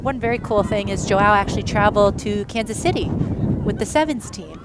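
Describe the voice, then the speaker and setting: A woman commentating on a rugby match in a lively, playful way that is quick to joke. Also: mid-range voice. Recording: outdoor noise behind the voice.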